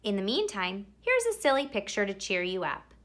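A woman reading a children's picture book aloud expressively, with theatrical emphasis and drawn-out words. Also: mid-range voice, sing-song, a bit bright. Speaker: read-aloud storyteller.

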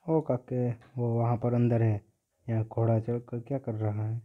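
A man's voice: mid-range voice, little pitch movement, a little slow. A man giving casual commentary as a gaming YouTuber while he plays. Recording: dull, lo-fi microphone sound.

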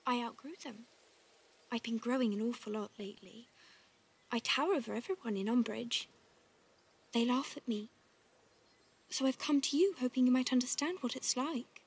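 A young actress playing an earnest, gentle heroine in a fantasy film. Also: female voice, on the high side, moderate pace, long pauses, husky. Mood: meek, earnest. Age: young adult.

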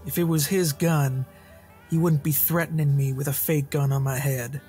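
A man voicing a gruff, blunt rogue who talks in short, halting phrases in a tabletop game. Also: a little high, level tone, warm tone, soft background music. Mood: gruff, guarded.

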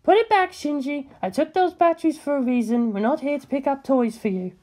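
A woman's voice: high-pitched, fairly quick, dark tone, voice pushed up, natural voice. A woman voicing a bossy, exasperated young female officer who exclaims indignantly and is easily flustered.

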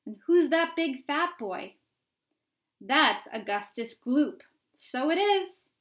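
A woman voicing excited, gossipy storybook onlookers shouting in a crowd, with emphatic exclaiming. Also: high voice, slow, voice pushed up, full character voice. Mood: excited gossip. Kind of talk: storybook character voices.

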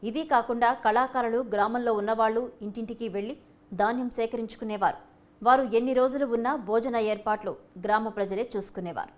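A woman's voice: fairly high pitch, steady tone, quick pace. A woman reading scripted narration for a documentary-style news feature.

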